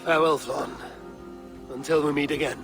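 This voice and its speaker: An actor playing a steady adult Viking warrior in a game cutscene, talking calmly and firmly like a counselor. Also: male voice, on the high side, moderate pace, over music, close to an everyday voice. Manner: calm, firm, counseling.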